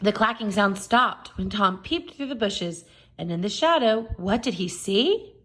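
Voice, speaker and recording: A woman reading a children's storybook aloud in expressive narration. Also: mid-range voice, measured pace. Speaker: storybook reader.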